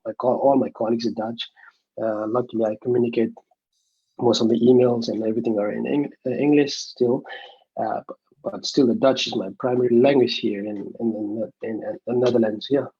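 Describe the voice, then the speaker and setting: A young man from Nepal, talking as an interview guest in a halting way, full of fillers and restarts. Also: mid-range voice, fairly even intonation, mellow, slightly rough, dull audio. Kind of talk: casual interview over a video call.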